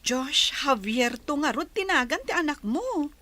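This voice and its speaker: A woman's voice: high-pitched, lively intonation, a little slow, bright, forceful tone, heavily acted. An actress playing a frank, inquisitive female cousin in a radio drama. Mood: curious, frank. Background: music.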